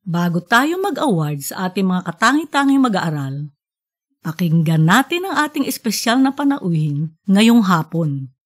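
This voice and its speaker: A woman's voice: lowish voice, very animated, moderate pace. A woman voicing a giddy female school principal giving a formal, rambling speech in an audiobook story.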